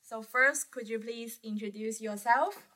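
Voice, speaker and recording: A woman's voice: a little high, measured pace, bright, forceful tone. A woman hosts an interview with prepared questions. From Guangzhou, China. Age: early 20s. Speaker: interview host.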